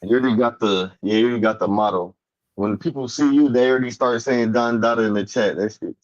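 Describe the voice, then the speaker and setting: A man in casual livestream chat, telling stories in relaxed, laid-back slang. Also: mid-range voice, fast talker. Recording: dull lo-fi stream audio.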